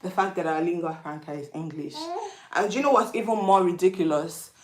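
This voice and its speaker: A woman's voice: lowish voice, fairly quick. Roomy-sounding Nigerian woman musing aloud. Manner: reflective, asks rhetorical questions. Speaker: vlogger. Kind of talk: casual opinion monologue.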